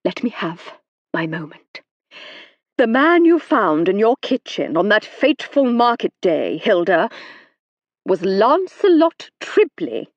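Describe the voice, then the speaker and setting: Woman as a crisp, refined aristocratic sleuth. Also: mid-range voice, big pitch swings, slow, no echo, dull audio. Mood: urgent. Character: brisk, clever aristocratic lady sleuth.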